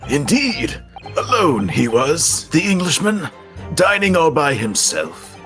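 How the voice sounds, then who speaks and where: A man's voice: a little high, wildly swooping pitch, takes it slowly, a bit bright, soft background music, full character voice. A man voicing a haughty, flamboyant witness with florid, theatrical phrasing in a comedic live game dub. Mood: haughty, dramatic.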